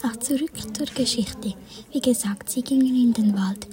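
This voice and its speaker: A woman's voice: on the high side, normal speed, a crowd in the background. A woman whispering a children's story, adding wandering asides.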